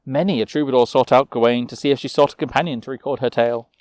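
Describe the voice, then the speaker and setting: A man narrating a fantasy tale as a storyteller, with a measured, theatrical delivery. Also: medium pitch, fairly even intonation, a little mellow, no echo.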